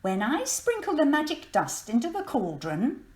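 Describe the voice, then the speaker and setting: A woman voicing a wicked, bossy pantomime witch for a children's storybook, loud and theatrical with drawn-out commands. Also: high voice, wildly swooping pitch, roomy sound, pitched above the performer's own voice. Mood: scheming. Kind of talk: children's storybook character reading.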